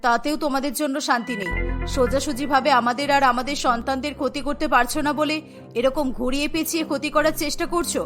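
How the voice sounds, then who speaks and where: A woman's voice: high voice, steady tone, brisk, voice pushed down, lightly acted. A woman voicing an irritated, accusing pregnant young daughter-in-law in a cartoon. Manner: accusatory, complaining. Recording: music bed behind the voice.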